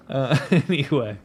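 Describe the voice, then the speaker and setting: A man's voice: medium pitch, darkish tone, husky. A young man hosting a podcast, reading out viewer comments and making joking, irreverent asides. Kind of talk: casual chat.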